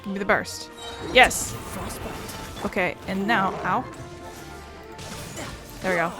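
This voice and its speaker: A woman's voice: mid-range voice, slow. A woman giving casual, offhand gameplay commentary, wry and prone to swearing. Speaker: video game streamer. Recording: game music under the commentary.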